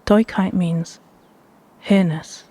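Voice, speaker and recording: A woman with a hushed, breathy voice guiding a meditation in measured, deliberate phrases with pauses between them. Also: on the low side, steady tone. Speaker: meditation guide. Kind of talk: slow guided meditation narration.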